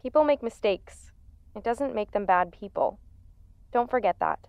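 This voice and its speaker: An actress playing a dry-witted, supportive assistant on a phone call in an audio drama, matter-of-fact and reassuring. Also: female voice, mid-range voice, animated, moderate pace, gravelly, a little mellow, smooth. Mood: wry, reassuring. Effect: phone call filter. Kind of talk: naturalistic audio drama acting. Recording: slightly muffled phone-call sound.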